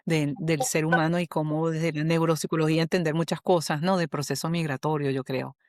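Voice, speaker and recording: A Venezuelan woman, a human resources specialist, talking as a guest in an interview, animated and often checking in with "¿ok?". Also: low-pitched, a little fast, no room sound, clean studio sound. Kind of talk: conversational interview.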